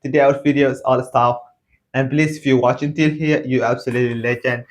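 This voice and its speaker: A man from Somalia with a Somali accent speaks casual, halting learner English to camera, repeating phrases as he practises. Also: medium pitch, fairly even intonation, slight background noise. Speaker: English learner and video blogger.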